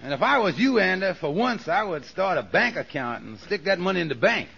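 Casting: cautious, well-meaning working-man pal, high lilting voice, folksy 1940s radio comedy, dull old recording. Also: big pitch swings, fairly quick, gravelly, pushed and bright, breathy edge, full character voice. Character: earnest, sensible, cautious working-man friend.

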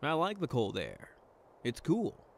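An actor playing a brooding, earnest and wry private detective, narrating a noir audio drama. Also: male voice, on the high side, wildly swooping pitch, a little slow, slight background noise, heavily acted. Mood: earnest, hopeful.

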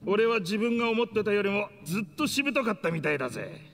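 An actor playing a brash, excitable young gangster in an anime game, with rough, informal speech. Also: male voice, high voice, fast talker, big-room sound, soft background music, full character voice. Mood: elated.

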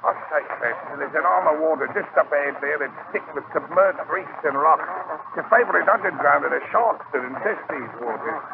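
An actor playing a skeptical, blustery colonial bachelor with a dismissive manner, in 1940s radio style. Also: male voice, a little high, brisk, creaky. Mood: wary, brisk. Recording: muffled, hissy 1940s radio recording.

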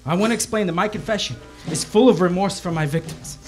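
A man's voice: sits high, quick pace, soft background music, close to an everyday voice. A man playing a menacing, unhinged killer in a 1920s radio thriller, commanding and theatrical. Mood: intense, confessional. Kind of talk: old-time radio thriller acting.

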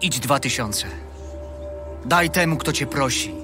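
An actor playing a calm, authoritative Jesus teaching his followers, earnest and instructive, in a gospel audio drama. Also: medium pitch, takes it slowly, music in the background.

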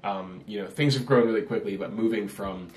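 Young man, podcast host, very deep voice, relaxed and affirming, echoey room. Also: brisk. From New Zealand. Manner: relaxed and affirming, with many fillers and yeahs. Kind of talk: casual interview chat. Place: small office with a whiteboard. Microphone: over-ear headphones with a desk mic.

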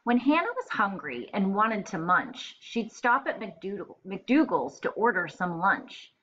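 Young female primary teacher, bright and forceful tone, casual read-aloud for little kids, slightly dull webcam sound. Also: medium pitch, sing-song, a little slow, a little hiss or hum. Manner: addresses children directly, casual. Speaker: elementary school teacher. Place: indoor room, webcam screencast.

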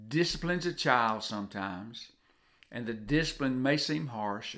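Older southern guy doing a homey devotional talk, earnest, normal pitch, says things twice to make the point. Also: a bit bright. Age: 60s or older. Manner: earnest, repeats phrases for emphasis. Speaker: co-host of a devotional video. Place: home kitchen, indoors.

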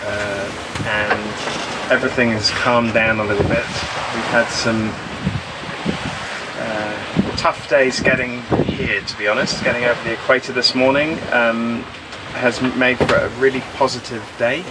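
A man's voice: medium pitch, measured pace. Easygoing guy on a sailboat, middle voice, wind roaring in the mic. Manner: relaxed, candid, laid-back. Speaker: sailing vlogger. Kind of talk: casual chat on camera. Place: outdoors on a sailboat cockpit. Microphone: camera at arm's length. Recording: loud wind noise. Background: loud wind.

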